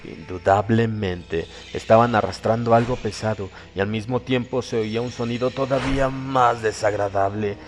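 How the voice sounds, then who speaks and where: Low-voiced man narrating horror over music. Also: fairly even intonation. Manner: suspenseful, theatrical, deliberate phrasing. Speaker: audiobook narrator. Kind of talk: dramatic scripted horror narration.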